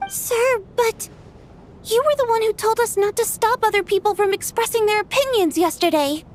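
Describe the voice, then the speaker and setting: A woman's voice: extremely high pitch, normal speed, a little hiss or hum. A woman playing an outspoken, earnest young girl who works at a theatre, in a video game. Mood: pleading. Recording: background music.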